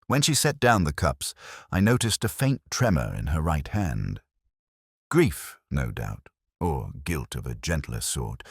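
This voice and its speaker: A man reading a literary detective story in the first person, with measured, reflective, melancholy phrasing. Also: low-pitched, no echo, clean studio sound. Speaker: narrator of a detective mystery story.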